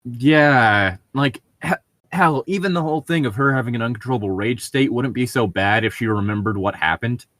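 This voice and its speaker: Exasperated guy bantering and reading fanfic out loud, swears a lot, dry close sound. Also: mid-range voice, moderate pace, dry recording. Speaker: podcast host reading fan fiction with friends. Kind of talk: casual banter and mocking read-aloud of amateur fiction.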